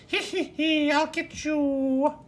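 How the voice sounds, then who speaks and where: Storybook villain witch played by a man: high, shrill, cackling, frightening big-sister bossiness; noisy recording. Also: flat delivery, slow. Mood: gleeful menace. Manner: taunting, frightening. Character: loud cackling bossy witch, older sister.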